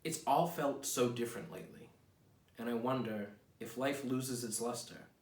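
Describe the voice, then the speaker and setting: A man's voice: medium pitch, normal speed. An American man in his thirties talking casually as a writer presenting his own work, rambling in a wry, self-deprecating manner. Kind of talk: casual rambling vlog with poem readings. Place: small home office, indoors. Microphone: camera mic a few feet away. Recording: roomy echo, distant mic, clear noise.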